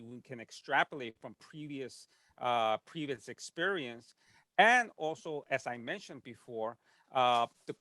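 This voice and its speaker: A man's voice: mid-range voice, fairly bright tone, dry recording. A man speaking as a medical panelist, explaining an answer in a presentation style.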